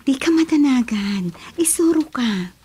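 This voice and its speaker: A woman's voice: sits high, animated, unhurried, warm tone, slightly rough, exaggerated voice. A woman playing a welcoming princess of a spirit world in a radio drama, coaxing and reassuring as she speaks.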